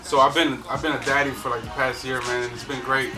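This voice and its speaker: Fast-talking laid-back rapper guest. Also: male voice, medium pitch, steady tone. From Guatemala. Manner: laid-back, slangy, laughs easily. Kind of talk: casual interview chat. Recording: distant mic with loud music behind.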